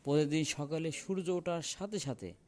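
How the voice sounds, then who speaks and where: A man's voice: a little high, normal speed, darkish tone. A man reading a scripted fable as a storyteller.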